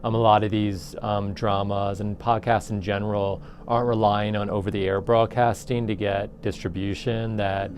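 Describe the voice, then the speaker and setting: A man's voice: lowish voice, level tone, normal speed, few pauses, darkish tone, slight background noise. A man who teaches, explaining things informally in a documentary interview. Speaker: audio production teacher.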